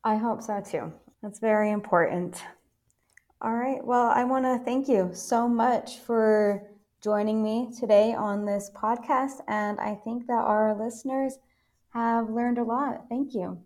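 A woman's voice: a little high, fairly even intonation, unhurried, a little mellow. A woman hosting a podcast, asking orderly questions in a friendly way and closing with a scripted sign-off. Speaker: podcast host. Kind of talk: interviewer.

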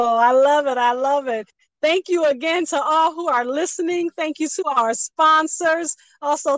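A woman with a high-pitched voice hosts an interview in a conversational style. The recording is dry and free of room echo. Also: a bit bright. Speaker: podcast host.

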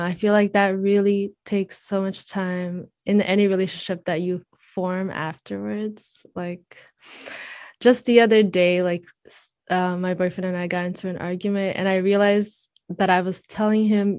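A woman's voice: medium pitch, little pitch movement, normal speed, darkish tone, dry recording, clean studio sound. A woman co-hosting a podcast, talking in an earnest, personal way in a casual discussion.

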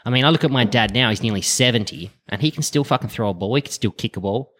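A man's voice: medium pitch, fast, fairly bright tone. A man in his late thirties chatting casually as a co-host, blunt and joking, swearing freely. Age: late 30s. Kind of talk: casual chat.

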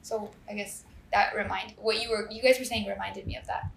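A woman's voice: medium pitch, steady tone, a little fast, gravelly, echoey room, slight background noise, poor audio. A woman in her twenties from Puerto Rico with a Puerto Rican Spanish accent, chatting casually and hesitantly, full of fillers and restarts. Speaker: medical student. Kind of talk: casual conversation.

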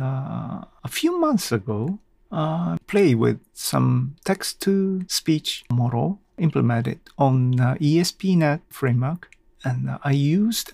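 A man speaks slowly in a casual solo podcast style. His voice has a noticeable creak, and the sound is close and dry. He feels his way through sentences haltingly, as if thinking aloud. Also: mid-range voice, darkish tone, no room sound. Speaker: podcast host. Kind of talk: casual unscripted solo talk.

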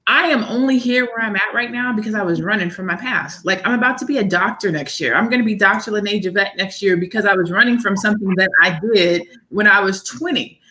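Bright-voiced woman in her forties. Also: mid-range voice, brisk, hardly any pauses, a little hiss or hum, poor audio. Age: 40s. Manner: earnest, faith-driven, repeats words when excited. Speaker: author and guest. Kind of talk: casual interview chat.

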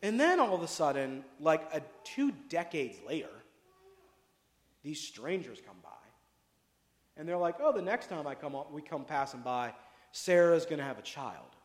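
A pastor preaching a casual sermon, chatty and jokey, full of asides and questions to the congregation. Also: male voice, fairly high pitch, big pitch swings, normal speed. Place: church sanctuary. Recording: echoey hall with long reverb.

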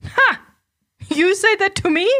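A man voicing a rude, irritable vulture in a storybook, shrill and mocking, with drawn-out words. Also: sharp tone, full character voice. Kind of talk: storybook character voice.

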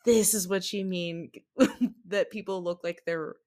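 A woman's voice: lowish voice, fairly even intonation, unhurried, darkish tone. A woman talking as a podcast guest in a casual, chatty way, telling things expressively with self-deprecating asides. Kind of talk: casual chat about anime.

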